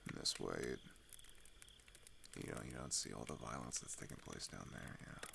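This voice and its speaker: A man playing a gravelly, weary teenage boy. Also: pushed and bright, a little hiss or hum, full character voice. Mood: somber, disillusioned. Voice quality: low, gravelly with vocal fry. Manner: hesitant, halting, confiding, often trailing into near-whisper. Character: weary, hesitant teenage boy refugee climbing a mountain. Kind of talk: intimate ASMR roleplay audio drama.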